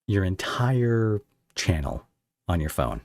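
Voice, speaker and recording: A man with a very deep voice interviews as a podcast host. His intonation is highly animated, and he talks effusively in halting, thinking-aloud phrases. The sound is dry and close, with little room to it. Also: no echo. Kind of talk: casual conversational interview.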